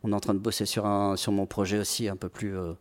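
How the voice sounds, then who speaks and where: Man, 40s, from the Paris region, lowish voice, easygoing and hesitant interview guest. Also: little pitch movement, fast talker. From Paris region, France. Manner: hesitant, many restarts and fillers, laughs often. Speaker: metal singer, guest. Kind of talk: casual interview chat.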